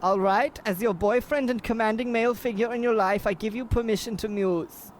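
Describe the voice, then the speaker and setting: A man's voice: sits high, steady tone, brisk, slight background noise, close to an everyday voice. A man playing a melodramatic, proud Bavarian boxer with a German accent in a comic radio play. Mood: proudly solemn. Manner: pompous, overwrought, flowery. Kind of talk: old-time radio play parody.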